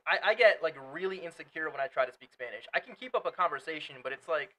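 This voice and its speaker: A man's voice: fairly high pitch, expressive, fairly quick, pushed and bright, poor audio. A young man from Portugal, a native Portuguese speaker, chatting earnestly as a guest about his business and family, often restarting his words. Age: young adult. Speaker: guest, entrepreneur. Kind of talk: casual interview chat.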